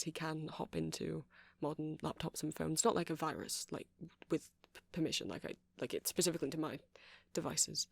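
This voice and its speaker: A man's voice: a little high, vocal fry, dry recording. A man playing a shy, earnest, nerdy vampire in an ASMR roleplay, speaking in a hesitant, rambling, stammering way. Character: shy, earnest, nerdy male vampire running a music shop.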